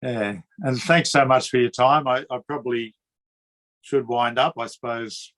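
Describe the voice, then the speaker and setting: A man with a drawling Queensland Australian accent talks in an easygoing, matey way and keeps the chat loose. His voice sits at an ordinary middle pitch. He laughs easily and often stumbles over or repeats his words. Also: unhurried, a bit bright. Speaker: interviewer. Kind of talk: casual conversational interview.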